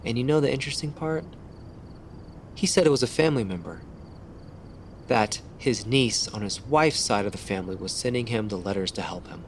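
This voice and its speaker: A man playing a determined, tough police detective in an audio drama, firm, deliberate and confrontational. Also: medium pitch, animated, slight background noise, close to an everyday voice. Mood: accusing.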